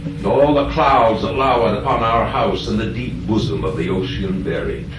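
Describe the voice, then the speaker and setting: Man performing a echoey, theatrical Shakespearean scheming villain. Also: medium pitch. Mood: grand, sardonic. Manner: theatrical, declamatory, slow drawn-out emphasis. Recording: distant, echoey live hall recording.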